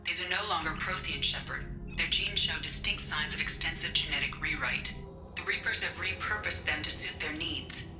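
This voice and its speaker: An actress voicing a composed female starship artificial intelligence in a video game, speaking in a precise, formal and measured way. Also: deep voice, roomy sound, over loud music, close to an everyday voice. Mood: detached, analytical.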